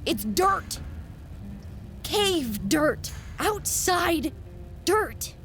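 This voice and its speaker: A woman playing an excitable, urgent young female agent in an audio drama, blurting and repeating her words. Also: high-pitched, drawn-out words, loud music behind the voice, heavily acted. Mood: excited, insistent.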